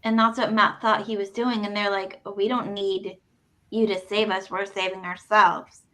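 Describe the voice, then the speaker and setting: A woman's voice: medium pitch, little pitch movement, normal speed, bright, forceful tone. A woman in a casual book discussion, explaining her view in a reflective, conversational way. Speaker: co-host of a book readalong discussion. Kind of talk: casual analytical book chat.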